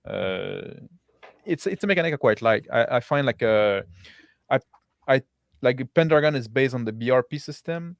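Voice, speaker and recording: A man's voice: mid-range voice, animated, normal speed, vocal fry, no room sound, studio quality. A man hosting a roleplaying stream as game master, chatting casually and rephrasing as he goes. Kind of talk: casual unscripted post-game chat.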